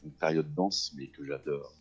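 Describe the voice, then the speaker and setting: A man's voice: very deep voice, steady tone, normal speed, slightly rough, soft background music. A middle-aged man from France, a guest on a radio interview, talking casually with many fillers and restarts. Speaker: guest, actor and theatre teacher. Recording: dull lo-fi radio recording.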